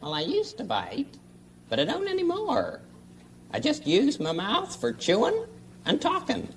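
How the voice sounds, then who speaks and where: Puppet donkey: friendly newcomer, folksy and eager to please, highish and extremely animated in pitch. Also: female voice, measured pace, distant mic, slight background noise, heavily acted. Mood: reassuring. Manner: agreeable, folksy, reassuring. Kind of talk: children's television puppet acting.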